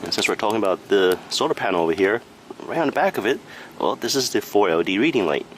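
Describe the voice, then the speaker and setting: A man with a very low-pitched voice walks through a product review. His delivery is plain and matter-of-fact, and there is a faint noise in the background. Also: animated, fast. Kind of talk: step-by-step product review narration.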